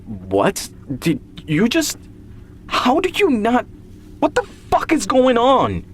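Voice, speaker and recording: A man's voice: very high voice, wildly swooping pitch, slow pace, a little hiss or hum, pitched above the performer's own voice, exaggerated voice. A man playing a troubled, bewildered male surgeon who tries to reassure, in an audio story. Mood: bewildered, angry.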